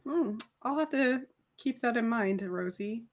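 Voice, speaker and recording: A woman voicing a measured, skeptical and resolute vampire in a tabletop roleplay, deliberate and dry. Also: fairly high pitch, animated, pitched above the performer's own voice.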